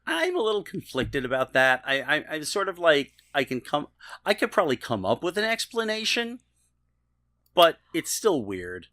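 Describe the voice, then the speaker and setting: A man co-hosting a podcast in casual chat, haltingly repeating words as he starts. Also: fairly high pitch, huge pitch swings, bright, forceful tone, no room sound. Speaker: podcast co-host. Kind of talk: casual chat, TV episode discussion.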